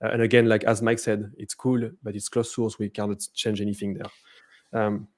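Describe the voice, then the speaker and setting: A man with a French accent giving a live software demo, hesitant, with many fillers and restarts. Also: fairly low pitch, little pitch movement, moderate pace. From France. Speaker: presenter.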